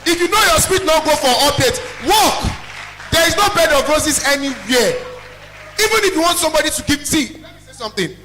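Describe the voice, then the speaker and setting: A young man with a Nigerian accent and a loud, carrying voice, giving an emphatic, blunt motivational talk as a business owner. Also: very high-pitched, lively intonation, a little fast, slight background noise. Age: young adult. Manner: emphatic, blunt, repeats phrases for effect. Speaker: small chops business owner, guest speaker. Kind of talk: motivational talk to a student audience.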